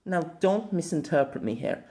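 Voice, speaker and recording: A man narrating a scripted opinion essay as host, in deliberate, formal phrasing with colloquial asides. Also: fairly high pitch, sing-song, gravelly, dark tone. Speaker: host of an anime audio essay.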